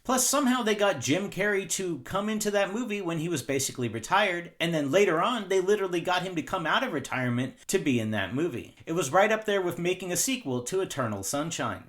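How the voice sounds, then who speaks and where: Animated higher-pitched man, wry commentary. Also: quick pace, few pauses. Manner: wry, sarcastic asides. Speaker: video essay host. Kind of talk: opinionated movie commentary, scripted narration.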